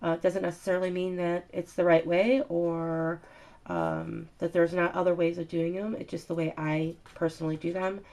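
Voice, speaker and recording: A woman's voice: fairly low pitch, little pitch movement, normal speed, vocal fry, darkish tone. A woman with a stuffy, nasal voice from a cold, chatting through a craft tutorial with lots of ums and uhs. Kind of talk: casual step-by-step craft demonstration.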